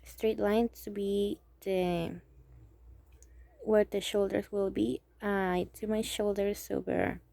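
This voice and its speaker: A woman's voice: medium pitch, flat delivery, vocal fry, no room sound. A young woman from Mexico with a Mexican Spanish accent, English her second language, talking casually and hesitantly through a drawing tutorial, sometimes dropping to a near whisper. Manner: hesitant, halting, thinking aloud; soft-spoken, drops to near whisper. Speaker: amateur artist.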